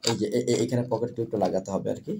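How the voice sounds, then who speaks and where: Bangladeshi man pitching products over background noise. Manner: repetitive, halting phrases. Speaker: seller presenting products. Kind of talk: product demonstration sales pitch.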